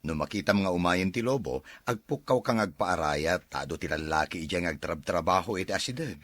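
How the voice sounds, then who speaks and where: A man's voice: low-pitched, animated, brisk, a bit bright, no room sound, studio quality. A man playing a cautioning father in a Filipino audio drama. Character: cautioning father of a young shepherd boy.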